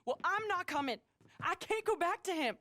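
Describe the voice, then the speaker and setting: A woman's voice: very high-pitched, animated, moderate pace, a bit bright, a little hiss or hum. A woman playing a defiant, distraught young runaway wife in a western video game. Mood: distraught defiance. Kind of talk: video game cutscene acting.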